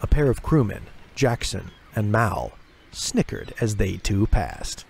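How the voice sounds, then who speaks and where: A man's voice: fairly low pitch, huge pitch swings, slow, creaky, slight background noise. A man narrating a scripted fantasy audio drama in a dramatic storytelling style. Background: music.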